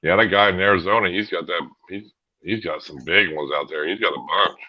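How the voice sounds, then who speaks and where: A man's voice: deep voice, fairly quick. An American man chatting casually as a livestream host in a folksy, loose way. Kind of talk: casual chat. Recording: slightly dull livestream audio.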